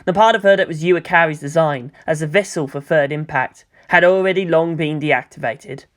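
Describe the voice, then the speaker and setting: A woman's voice: sits low, brisk. A woman narrating a scripted story as a fan fiction reader.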